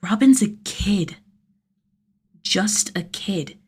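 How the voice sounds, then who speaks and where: Expressive woman narrating fiction. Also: mid-range voice, very slow, slightly rough. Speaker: podfic narrator.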